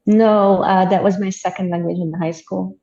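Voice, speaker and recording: A woman, a storyteller chatting in an interview, thoughtful and quick to laugh. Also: mid-range voice, fairly even intonation, mellow. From Hungary. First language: Hungarian. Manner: thoughtful, easy laughs, restarts mid-sentence. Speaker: storyteller guest. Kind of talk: casual interview chat. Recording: dull roomy sound.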